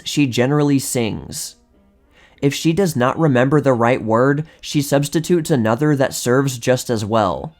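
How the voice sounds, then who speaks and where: Man narrating over music, measured and precise. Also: medium pitch, a little mellow. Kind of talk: scripted narration, reading vintage magazine articles aloud.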